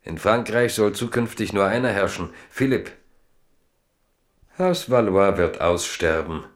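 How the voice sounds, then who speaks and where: A man narrating a historical radio drama in a measured storytelling manner. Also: fairly low pitch, animated. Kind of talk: scripted literary narration.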